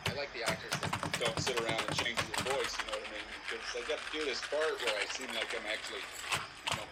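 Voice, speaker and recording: A man's voice: on the low side, fairly even intonation, quick pace, heavy background noise. A man guesting on a casual livestream chat, rambling and brash, full of profane trash talk.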